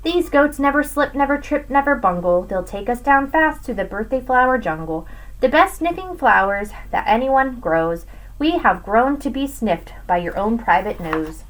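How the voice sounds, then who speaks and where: A woman with a fairly high voice reads a children's picture book aloud like a teacher to her class. Her delivery is expressive and lively, with pitch that moves up and down a lot. She addresses young listeners directly. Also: normal speed, poor audio. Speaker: teacher reading to students. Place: small home room with bookshelves. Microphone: webcam at desk distance.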